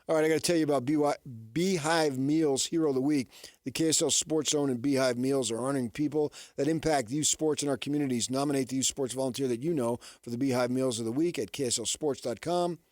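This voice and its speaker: A man's voice: medium pitch, fast talker, darkish tone, dry recording. Casual, folksy man hosting sports radio, dry sound. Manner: conversational, folksy, peppers talk with rhetorical questions.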